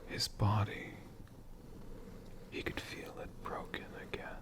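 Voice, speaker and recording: A storyteller whispering a tale for ASMR in a hushed, breathy voice with slow, dramatic pauses. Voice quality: breathy whisper with creaky edges.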